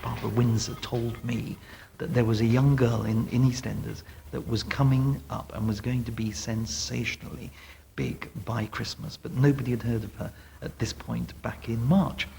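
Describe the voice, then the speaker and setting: Creaky-voiced man telling anecdotes. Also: medium pitch, steady tone, normal speed, a little mellow, poor audio. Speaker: interviewee, pantomime booker. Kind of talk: anecdotal interview talk. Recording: VHS transfer with faint music.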